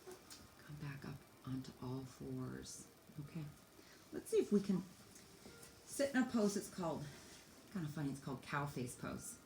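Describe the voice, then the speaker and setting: A woman with a slightly low, somewhat mellow voice leads a stretching class for children. She gives simple, encouraging instructions one step at a time while demonstrating. The recording is clearly echoey, as in an indoor room. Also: big pitch swings, normal speed, pauses often. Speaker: teacher leading a children's yoga stretching class. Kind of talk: instructional exercise guidance, demonstrating while talking. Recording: echoey room, faint background noise.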